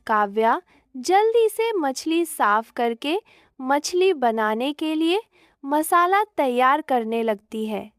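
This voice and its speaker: High-pitched, unhurried woman storyteller. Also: very animated, no echo, studio quality. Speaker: narrator. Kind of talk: scripted story narration.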